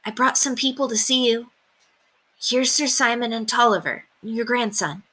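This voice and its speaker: A woman's voice: on the high side, moderate pace, sharp tone, voice pushed up. A woman voicing an earnest, kind-hearted young boy in a storybook reading. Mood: gentle.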